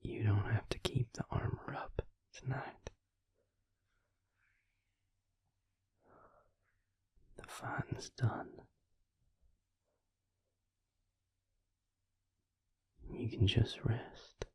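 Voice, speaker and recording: Man acting as a brooding male vampire lover, very husky, whispered, close and dry, halting, intimate audio roleplay. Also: on the low side, fairly even intonation, darkish tone, no room sound. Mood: tender, soothing. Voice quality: breathy, gravelly whisper. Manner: slow, halting delivery with pauses.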